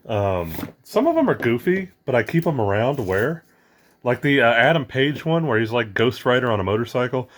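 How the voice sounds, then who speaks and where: A man with a hoarse, raspy voice from losing it, chatting casually as the host of an unboxing vlog, rambling with lots of fillers. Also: medium pitch, big pitch swings, normal speed. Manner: rambling, lots of ums and uhs.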